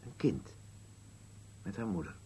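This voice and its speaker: An actor playing a stubborn, bitter old husband in a radio play, with a blunt, contrary, grumbling manner. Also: male voice, on the low side, animated, unhurried, warm tone, a little hiss or hum. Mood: bleak, matter-of-fact. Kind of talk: dialogue in an absurdist radio play.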